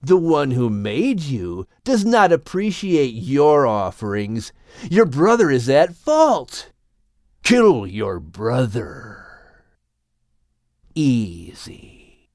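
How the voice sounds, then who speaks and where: A man voicing a menacing, boastful king cobra villain in a dramatized children's audiobook, with a gloating, taunting, drawn-out delivery. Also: mid-range voice, big pitch swings, darkish tone, no echo, studio quality, full character voice. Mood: gloating, sinister.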